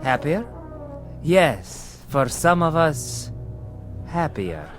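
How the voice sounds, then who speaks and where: An eerie, slow-spoken accuser. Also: male voice, on the high side, sing-song, faint music behind, heavily acted. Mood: veiled irony. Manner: measured, insinuating, mock-polite. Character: calm, accusing former prisoner, eerie and mocking. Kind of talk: vintage television drama acting.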